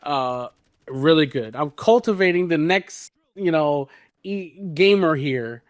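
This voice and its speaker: A man's voice: a little high, lively intonation, slow, no echo, clean studio sound. A man hosting a podcast in casual chat, speaking in an emphatic, opinionated way. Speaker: podcast host.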